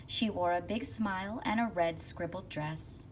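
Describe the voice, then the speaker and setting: A woman reading a rhyming children's picture book aloud expressively, with rhythmic, deliberate phrasing. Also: on the low side. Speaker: school counselor reading aloud. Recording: distant mic with faint noise.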